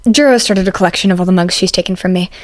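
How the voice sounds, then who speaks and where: A woman voicing a quiet, awkward young male college student with a terse, understated manner in a story reading. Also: lowish voice, racing, dry recording, clean studio sound, close to an everyday voice. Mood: reserved, awkward.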